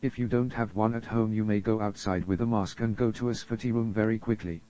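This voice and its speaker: The audio is muffled and lo-fi, carrying a man's very dark, mellow voice. He reads scripted emergency announcements in a formal, solemn manner, like a broadcast announcer addressing the public. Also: lowish voice, fairly even intonation, quick pace. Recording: dull lo-fi audio.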